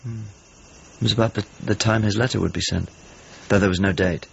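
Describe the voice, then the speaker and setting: An actor playing a worried, loyal friend and weary traveller in a period radio drama, speaking haltingly and thoughtfully. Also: male voice, on the low side, steady tone. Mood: troubled, searching. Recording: dull lo-fi audio with clear noise.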